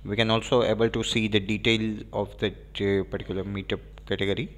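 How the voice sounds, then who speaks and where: Hesitant low-voiced man with Indian English accent. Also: level tone. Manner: hesitant, frequent filler pauses. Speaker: software demo presenter. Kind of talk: screen-recorded software walkthrough.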